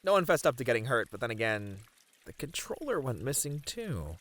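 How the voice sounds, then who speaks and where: Casting: male actor as easygoing gamer event manager, very animated intonation, mid pitch, chatty and a bit flustered, ASMR roleplay. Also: a little fast. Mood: animated, candid. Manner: casual, chatty, hesitant filler-laden banter. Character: friendly, slightly flustered male gaming tournament manager.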